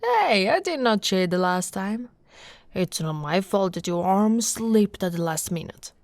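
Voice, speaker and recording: A woman from Italy playing an affectionate, teasing female orc warrior in an intimate, soft-spoken ASMR roleplay. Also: mid-range voice, animated, a little slow, no echo. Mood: teasing, competitive. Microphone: close, dry microphone.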